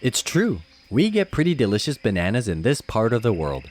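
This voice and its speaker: A man playing a gentle, patient ape papa who reads to his child in an expressive, gently instructive way. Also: medium pitch, a little fast, a little hiss or hum. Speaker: storybook reader. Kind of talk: animated children's read-aloud.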